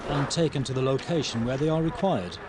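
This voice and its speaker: A man reading scripted instructional narration for a training film in a formal, measured way. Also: medium pitch. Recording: noisy 1990s training video, engine sounds.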